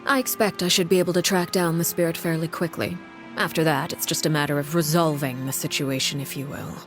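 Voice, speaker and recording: A woman playing a composed, commanding leader in a video game, speaking formally with measured, quiet authority. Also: deep voice, fairly even intonation. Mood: calm, grave. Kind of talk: dramatic video game dialogue. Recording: faint music behind the voice.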